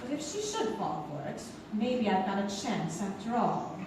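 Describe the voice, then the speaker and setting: A woman playing a brisk, wry secretary in a stage comedy, with theatrical delivery. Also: medium pitch, sing-song, music in the background, natural voice. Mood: scheming, hopeful. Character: brisk, wry, lovelorn secretary, loyal and scheming. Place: theatre stage before a live audience. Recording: echoey theatre recording from far off, faint noise.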